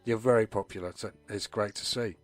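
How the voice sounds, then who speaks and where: A man's voice: on the low side, fairly even intonation, a little fast, faint music behind. A man co-hosting a radio show, putting casual interview questions to a guest.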